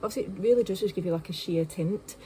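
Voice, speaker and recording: A woman's voice: fairly low pitch, fast talker, mellow, a little hiss or hum, low-quality recording. A young woman with a Yorkshire accent, chatting casually to her phone camera at home, rambling with lots of fillers and poking fun at herself. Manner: rambling, filler-heavy, self-deprecating. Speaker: vlogger. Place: home, kitchen then bedroom.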